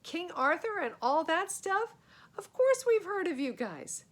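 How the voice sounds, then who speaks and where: Chatty young adventurer from a storybook, voiced by a woman: high, lively, casual and excited. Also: measured pace, heavily acted. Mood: eager. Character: eager, chatty boy hero of storybook adventure.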